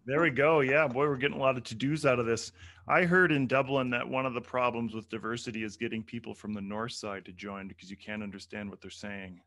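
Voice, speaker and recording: A man's voice: medium pitch, fairly even intonation, brisk, a bit bright. A man hosting a podcast, friendly and joking in casual interview chat with some hesitant fillers. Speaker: podcast host.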